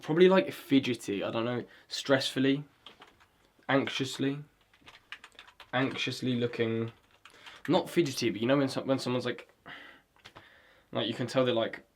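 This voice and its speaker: A man of ordinary pitch talks loosely to camera while he writes, trailing off and restarting as he searches for words. He swears freely and talks himself through ideas. Faint typing clicks sit under his voice. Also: normal speed, long pauses, a little hiss or hum. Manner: rambling, swears often, searches for words aloud. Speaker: vlogger writing a script. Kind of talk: casual talk while writing.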